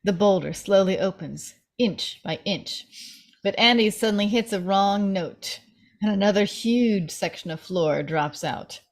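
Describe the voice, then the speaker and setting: A woman's voice: medium pitch, a little slow. Female narrator for a table read: mid-range voice, reading scene directions.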